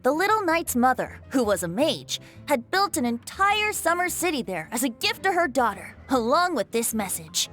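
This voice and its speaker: A woman's voice: high voice, a bit bright, exaggerated voice. A woman playing a playful, self-assured young male bard in an anime game, flowery and theatrical, with music behind. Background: background music.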